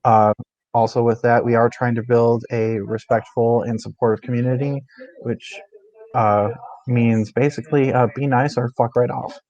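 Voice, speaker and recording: A man's voice: medium pitch, level tone, normal speed, a little mellow, muffled recording. A man co-hosting a book discussion stream in a casual, informal, conversational way. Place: indoors, live stream.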